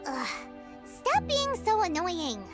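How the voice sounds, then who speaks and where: A woman voicing a small, perky, blunt fairy companion with a squeaky childlike voice in a video game. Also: takes it slowly, heavily acted. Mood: exasperated. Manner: blunt, expressive, refers to herself by name. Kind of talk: video game character acting. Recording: game audio with loud music behind.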